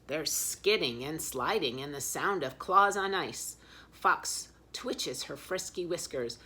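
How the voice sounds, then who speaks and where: A woman's voice: low voice, animated, slow pace, sharp tone, slightly rough. A woman reading picture books aloud expressively as a children's storyteller.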